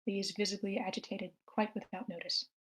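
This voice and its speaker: A woman's voice: mid-range voice, level tone, fairly quick. A woman narrator reading screenplay stage directions aloud.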